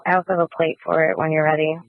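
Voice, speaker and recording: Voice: mid-range voice, level tone, rapid-fire, dry recording. A police dispatcher relays details to units. The voice comes dry through a narrow, tinny two-way radio signal.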